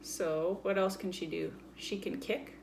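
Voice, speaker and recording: Woman with creaky voice, chatting to children. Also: medium pitch, steady tone, a little slow, darkish tone, a little hiss or hum, rough recording. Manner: playful, explains simply, chatty. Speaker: children's story-time presenter. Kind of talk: casual show-and-tell for children.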